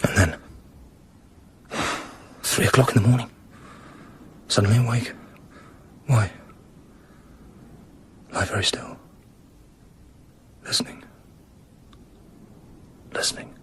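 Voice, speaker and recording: An actor playing a cool British secret agent in a radio drama, speaking in terse, clipped and often hushed phrases. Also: male voice, lowish voice, slow pace, pauses often, in a whisper. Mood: calm, recounting. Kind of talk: radio drama acting with internal monologue. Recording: radio drama with music underneath.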